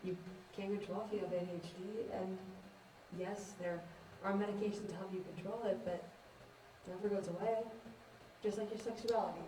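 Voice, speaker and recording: A woman's voice: mid-range voice, steady tone, a little fast, lightly acted. A young woman acting a frank, reassuring character in a student stage play, direct and insistent, recorded at a distance. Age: young adult. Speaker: student actor. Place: indoor stage, distant microphone. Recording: echoey, distant stage recording, faint noise.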